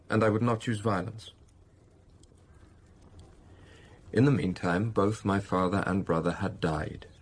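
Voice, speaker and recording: An actor playing a brooding, passionate middle-aged master of a country estate in a period radio drama, gravelly and intense. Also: male voice, deep voice. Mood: bitter, confessional. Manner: intense, confessional, pleading.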